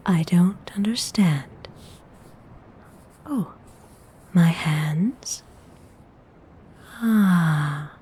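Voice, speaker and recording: A woman playing a gentle, seductive vampire noble in a whispered ASMR roleplay, breathy, soothing and intimate. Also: lowish voice, slight background noise. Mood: soft, intrigued. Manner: slow, soothing, intimate, unhurried.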